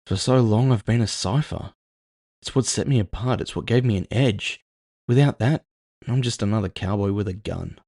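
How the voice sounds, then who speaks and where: A world-weary cyborg gunman acted by a male narrator in a cyberpunk audio drama, brooding and self-doubting. His voice is low and gravelly, recorded very dry. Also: normal speed, a little mellow, no room sound. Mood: dejected.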